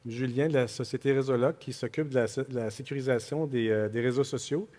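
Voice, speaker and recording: A man, a conference panelist, listing partner firms with pauses and restarts. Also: mid-range voice, warm tone. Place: large conference hall. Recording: hall reverb.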